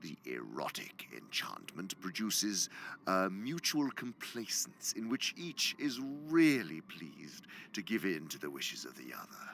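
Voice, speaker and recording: A man's voice: low-pitched, wildly swooping pitch, measured pace, creaky, a bit bright, slight background noise, full character voice. A man playing a sly, gloating senior demon who lectures pompously for a radio drama, savoring his words and sometimes dropping to a whisper. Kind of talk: theatrical radio drama monologue.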